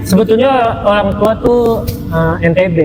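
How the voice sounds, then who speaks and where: A man in his thirties from Jakarta, a guest athlete giving short, low-key answers in casual chat. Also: normal speed, big-room sound, over a noisy crowd.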